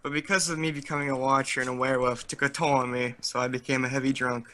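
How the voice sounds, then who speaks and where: A man's voice: mid-range voice, level tone, fast, bright, forceful tone. A man chatting casually as a roleplay player, telling his character's backstory.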